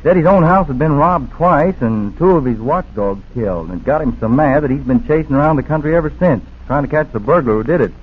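A man's voice: fairly high pitch, lively intonation, brisk, few pauses. An actor playing an earnest, plain-spoken male burglary victim answering questions in a 1940s radio drama. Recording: husky, narrow-band 1940s radio recording.

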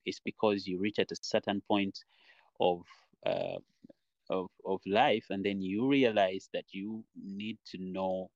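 Low-voiced man, reflective and rambling. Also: no room sound, dull audio. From Uganda. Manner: reflective, rambling, often says "you know". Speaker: podcast guest, dancer and choreographer. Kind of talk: casual interview talk.

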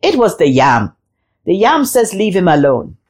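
A woman's voice: on the low side, big pitch swings, a little slow, darkish tone. A woman voicing a bossy, matter-of-fact talking dog in a folk tale, with a curt way of speaking.

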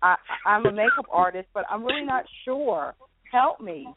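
A woman's voice: medium pitch, lively intonation, normal speed, a crowd in the background. A woman from Philadelphia introducing herself casually and a little hesitantly on a talk show. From Philadelphia, United States. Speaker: panelist, makeup artist. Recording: noisy narrow phone-like sound with clicks.

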